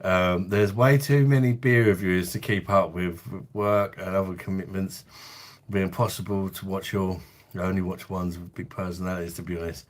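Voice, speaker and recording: Bloke, deep lowish voice, laid-back beer-stream host, swears and laughs a lot. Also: male voice, steady tone, moderate pace. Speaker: beer reviewer hosting a live stream. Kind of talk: casual live-stream chat while drinking. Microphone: phone.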